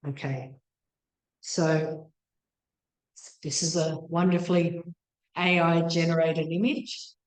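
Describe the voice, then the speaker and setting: Low-voiced woman lecturing in an echoey room. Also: a little mellow. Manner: tentative, self-questioning, apologetic. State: losing her voice, throat-clearing. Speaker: PhD researcher presenting a talk. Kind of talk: academic lecture. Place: indoor meeting room with audience.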